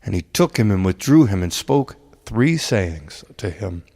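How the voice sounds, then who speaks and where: A man reading a spiritual text as solemn scripture, with measured, deliberate and dramatic phrasing. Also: on the low side, sing-song, creaky, dark tone. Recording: long cavernous reverb.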